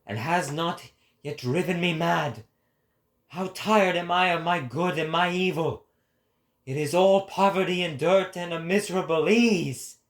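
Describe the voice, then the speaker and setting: A man voicing an impassioned prophet preaching to a crowd, declaiming grandly and deliberately in a dramatic philosophical reading. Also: on the high side, pitched above the performer's own voice, natural voice. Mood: scornful, impassioned.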